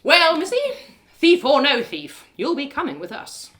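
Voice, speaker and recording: A woman voicing a commanding, suspicious town guard leader in a fantasy story, loud and declamatory. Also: high voice, big pitch swings, moderate pace, pitched above the performer's own voice. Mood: exasperated authority.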